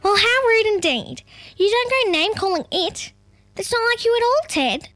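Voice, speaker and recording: A woman's voice: sits high, expressive, normal speed, a bit bright, no room sound, faint music behind, pitched above the performer's own voice. A woman voicing a determined, folksy female emu in a children's story, with an Australian accent and a blustery, emphatic manner. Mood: indignant.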